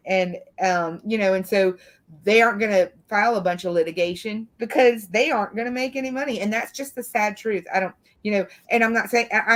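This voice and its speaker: Woman from the American South, rambling talker. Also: medium pitch. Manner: rambling, frequent "you know". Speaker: legal commentator on a panel. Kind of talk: casual true-crime discussion.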